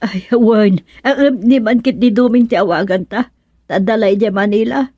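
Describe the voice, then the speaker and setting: A fretful older auntie, played by a woman in an Ilocano radio drama. She has an Ilocano accent and a medium-pitched voice, full of worried sighs and plaintive exclamations, recorded dry. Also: moderate pace, warm tone, dry recording, dull audio, close to an everyday voice. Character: fretful older aunt anxious over her ailing husband.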